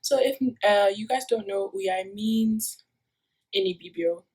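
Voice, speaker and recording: A woman's voice: medium pitch, steady tone, a little slow, a little mellow, husky, poor audio. A young woman from Nigeria, a university student, rambling through a casual vlog with lots of fillers, recorded on a phone taped to the wall of her room. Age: young adult. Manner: rambling, many fillers and self-corrections.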